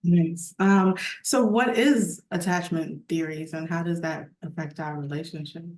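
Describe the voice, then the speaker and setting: A woman's voice: fairly low pitch, steady tone, measured pace, a little mellow. A woman hosting a podcast interview, asking short friendly questions. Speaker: podcast host. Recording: echoey room.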